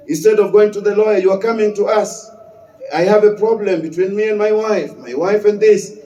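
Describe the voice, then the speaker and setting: A man's voice: sits high, warm tone. A man, an imam delivering a Friday sermon in an emphatic, repetitive preaching manner. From West Africa. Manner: emphatic, repeats key phrases. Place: mosque prayer hall. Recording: echoey mosque hall, distant mic.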